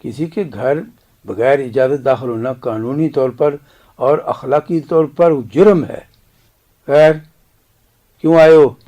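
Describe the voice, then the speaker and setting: A man's voice: medium pitch, slow, pauses often, warm tone, close to an everyday voice. An actor playing a dignified, defiant Kashmiri father in a radio drama, speaking in a grave, emphatic way. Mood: indignant.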